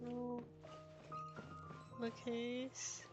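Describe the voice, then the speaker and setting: A woman's voice: on the high side, completely flat delivery, drawn-out words. A woman game streamer giving casual live reactions as she plays. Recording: game music behind.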